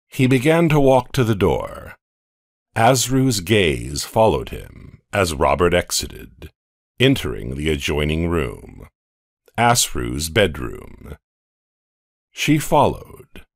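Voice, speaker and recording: A man narrating a horror audio comic in a slow, dramatic storytelling manner. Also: sits low, very animated, dry recording, studio quality.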